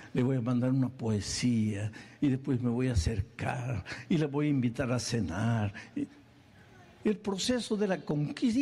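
A man preaching a sermon, animated, acting out little scenes and firing off questions. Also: medium pitch, husky.

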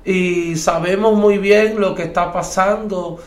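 A man's voice: sits high, fairly even intonation, slow. A man testifies in church, his colouring every phrase. He preaches with rising fervour, stacking rhetorical questions and repeating lines for weight. The sound carries a roomy, echoing space around him. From Cuba. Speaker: church guest giving testimony.

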